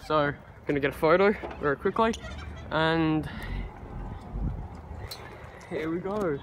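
A man's voice: a little high, slow pace. A young man vlogging casually on a handheld camera out in the city. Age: teens or young adult. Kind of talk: casual vlog talk to camera. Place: busy train station and city streets outdoors. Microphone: handheld camera or phone. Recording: very noisy outdoor city ambience, handheld camera.

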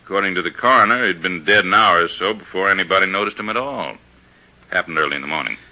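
Deep-voiced, laconic police sergeant. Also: male voice, fast. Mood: matter-of-fact. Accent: American. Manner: terse, matter-of-fact. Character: laconic, plain-spoken male police sergeant, matter-of-fact. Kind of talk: 1950s radio drama acting. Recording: muffled, noisy 1950s radio recording.

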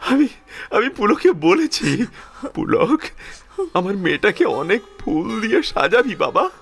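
Man acting slow, impassioned elderly father-in-law. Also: sits high, sing-song, gravelly, fairly bright tone, breathy edge, full character voice. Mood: tender, emotional. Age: older. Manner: slow, pleading, repeating phrases with emotional pauses. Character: tender, grieving elderly father-in-law, resolute and protective. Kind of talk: emotional audio drama acting.

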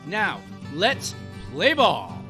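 A man's voice: high voice, wildly swooping pitch, very slow. A man hosting a podcast intro, reading a scripted promotional narration. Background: country guitar music.

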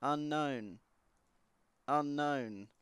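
A woman hosting a let's play, chatting casually about the game with wry, deadpan jokes. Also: sits low, drawn-out words, smooth, no echo. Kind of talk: casual gameplay commentary.

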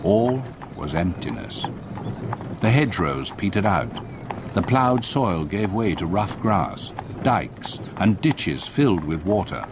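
An actor playing an older English retired solicitor, narrating his memories in measured, literary radio drama style. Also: low-pitched, big pitch swings, background noise, full character voice. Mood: reflective. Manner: measured, literary, vivid storytelling. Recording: clear outdoor effects behind narration.

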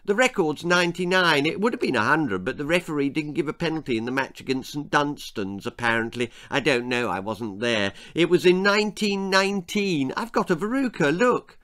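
A man's voice: fairly high pitch, expressive, brisk, hardly any pauses, a bit bright, pitched above the performer's own voice. A man voicing an oblivious young upper-class boy who chatters inanely and without stopping in a storybook tale. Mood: boastful, oblivious. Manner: incessant, inane, interrupting.

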